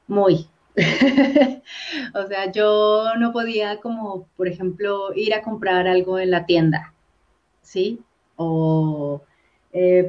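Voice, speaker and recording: A woman's voice: mid-range voice, darkish tone. A woman from Colombia, an actress and comedian, chatting as an interview guest with many fillers and repeated words. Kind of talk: casual interview chat. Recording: dull lo-fi sound.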